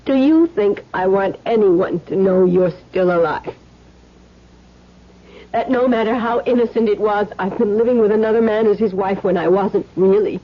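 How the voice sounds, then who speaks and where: A woman's voice: medium pitch, moderate pace, lightly acted. An actress playing an anxious but defiant wife guarding her family, strained and earnest in an old radio drama. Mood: strained, firm. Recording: dull old-time radio recording.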